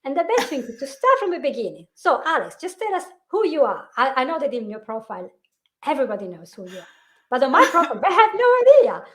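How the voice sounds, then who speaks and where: A woman's voice: high-pitched, big pitch swings, fast, slight background noise. A woman hosting a casual online chat over a video call, chatty and often restarting her words. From Italy. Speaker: host, hypnotherapist. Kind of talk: casual live-stream chat.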